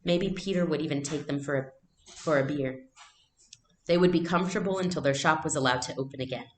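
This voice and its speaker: Woman, storybook narrator, deep-pitched, echoey room recording. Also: fairly quick, a little hiss or hum. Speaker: read-aloud narrator.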